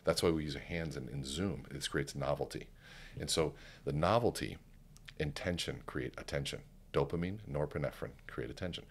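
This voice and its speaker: A middle-aged man with an extremely low, resonant baritone speaks calmly on a podcast. He paces his thoughts with deliberate pauses, like a trained speaker coach. The sound comes from a close studio microphone. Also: slightly rough. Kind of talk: conversational podcast interview. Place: podcast studio with curtained backdrop. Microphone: studio microphone on a stand, headphones on.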